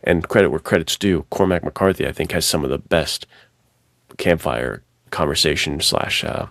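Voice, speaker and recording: A man with a very deep voice and plenty of vocal fry, in a dry, close recording. He talks like a podcast co-host, offering opinions in an informal, slangy way. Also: moderate pace, fairly bright tone, dry recording. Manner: slangy, conversational. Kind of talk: casual chat, offering opinions.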